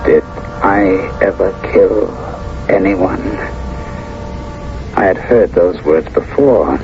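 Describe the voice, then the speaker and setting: An actor playing a troubled, earnest war veteran in love in a 1970s radio drama, speaking hesitantly. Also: male voice, deep voice, takes it slowly, creaky, music in the background, heavily acted. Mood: haunted, brooding. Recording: dull, noisy old radio recording.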